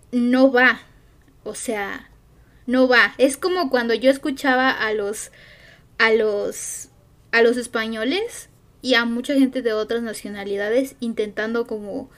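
A woman's voice: a little high, fairly even intonation, takes it slowly. A woman with a Mexican accent chatting casually on a stream, rambling and hesitant with lots of fillers.

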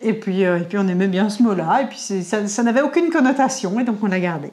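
Belgian woman, 40s, co-host, lively casual chat, midrange voice. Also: mid-range voice, fast. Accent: Belgian French. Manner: lively, laughs easily, restarts words. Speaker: vlog co-host. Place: covered porch beside a house.

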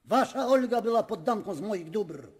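An actor playing a pompous, indignant aristocratic magnate, declaiming in a radio drama. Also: male voice, sits high, animated, moderate pace. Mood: haughty. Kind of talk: theatrical radio drama acting. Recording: long reverberant hall sound.

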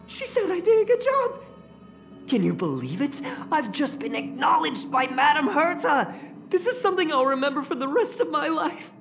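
A woman voicing an excitable, eager young employee in a video game, with a gushing, exclamatory manner. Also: high-pitched, normal speed, faint music behind. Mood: elated. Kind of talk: scripted game dialogue.

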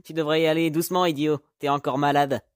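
Man voicing a sassy, higher-pitched demon ex-mobster. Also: level tone, quick pace, clear, resonant tone, no room sound, studio quality, natural voice. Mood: teasing. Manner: sassy, slangy. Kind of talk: French fan comic dub acting.

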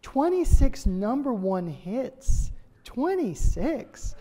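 A man's voice: very high voice, sing-song, extremely slow. A man in his fifties giving an enthusiastic stage introduction at a podium in a large hall. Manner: warm, enthusiastic, emphatic repetition. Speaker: event host introducing the speaker. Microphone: podium mic. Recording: reverberant hall with audience murmur.

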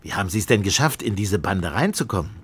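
A male actor voices a skeptical police inspector in a radio drama. He is proud and blunt, with a low voice and very mobile pitch, over faint ambient noise. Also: expressive, fast talker, lightly acted. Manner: blunt, questioning. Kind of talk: German radio play acting.

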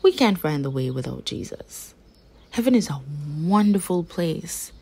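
Slow, very expressive woman reading to children. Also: on the low side, gravelly, slightly rough. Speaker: read-aloud storyteller.

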